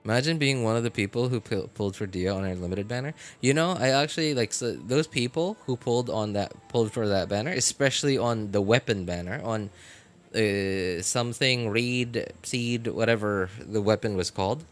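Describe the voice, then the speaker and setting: A man's voice: lowish voice, normal speed. Rambling man chatting on a game stream. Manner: rambling, filler-heavy, animated opinions. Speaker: gaming streamer. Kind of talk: casual live-stream chat.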